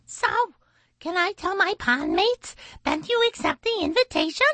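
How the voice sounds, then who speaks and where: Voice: very high-pitched, expressive, measured pace, pushed and bright, no room sound, pitched above the performer's own voice, heavily acted. A woman voicing a proud, chirpy blue jay messenger who brags in a children's storybook. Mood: eager. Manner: bragging, chirpy.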